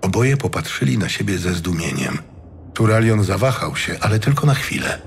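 A man's voice: low-pitched, big pitch swings, normal speed, creaky, slight background noise. A man reading a fantasy audiobook as a dramatic storyteller. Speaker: audiobook narrator. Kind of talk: dramatic scripted narration of a fantasy tale. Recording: music behind the voice.

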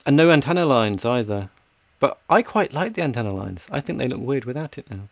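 A man's voice: medium pitch, animated, brisk, creaky, no echo. A man in his thirties explaining things in a casual tech chat, speaking into a close mic in a small room. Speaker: podcast panellist. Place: small home room with shelves.

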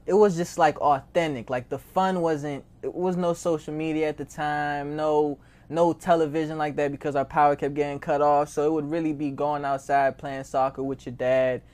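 A man's voice: on the high side, little pitch movement, moderate pace, few pauses, darkish tone. Slangy American man of nineteen. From born in Haiti, raised in Maryland from age seven. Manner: informal, slangy, repeats phrases. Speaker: interview guest, record label founder. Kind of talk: casual conversational interview.

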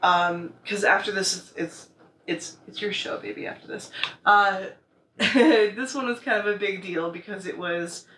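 A young American woman chatting casually and excitably to camera as a vlogger showing off her purchases. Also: mid-range voice, unhurried. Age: young adult. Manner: excitable, gushing. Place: small living room, on a couch. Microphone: camera mic a few feet away. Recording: roomy echo, noisy camera audio.

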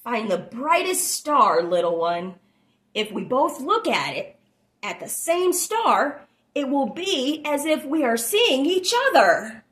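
A woman's voice: sits high, big pitch swings, slow pace, fairly bright tone, exaggerated voice. A woman voicing a loving, reassuring mother elephant in a children's storybook, in a tender, soothing manner. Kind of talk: expressive children's storybook read-aloud.

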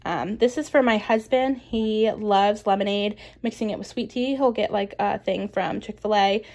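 A woman's voice: mid-range voice, fairly even intonation, normal speed, darkish tone. A young woman vlogging from her home kitchen, chatting upbeat and casually with lots of "um" and "like.". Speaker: lifestyle vlogger. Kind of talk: casual grocery haul chat. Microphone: camera on counter, medium distance.

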